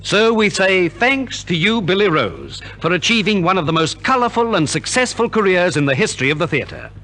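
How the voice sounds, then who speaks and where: A man's voice: high-pitched, sing-song, a little fast, bright, forceful tone. A man from Australia narrating as a formal, theatrical compere in 1950s scripted radio. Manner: formal, theatrical announcer delivery. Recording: 1950s radio transcription recording.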